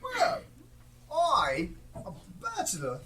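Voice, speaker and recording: A woman's voice: fairly high pitch, huge pitch swings, takes it slowly, full character voice. A woman playing a joking, self-pitying bachelor party guest in a live amateur stage play. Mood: mock-wretched. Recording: live stage, distant mic, clear noise.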